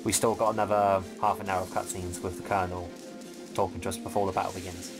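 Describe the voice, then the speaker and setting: Casting: male gameplay commentator, lowish voice, casual and explanatory, game music behind. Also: flat delivery, fast talker. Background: game soundtrack music.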